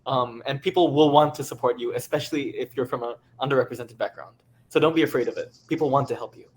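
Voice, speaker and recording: A man speaking as a panelist, giving advice in casual, earnest, halting conversational talk. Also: medium pitch.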